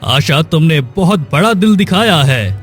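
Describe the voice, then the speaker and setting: Male actor as cartoon king: stern, commanding, unhurried delivery, music underneath. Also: a little high, lively intonation. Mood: grave. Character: stern, weighty king holding court. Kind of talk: Hindi cartoon moral story acting.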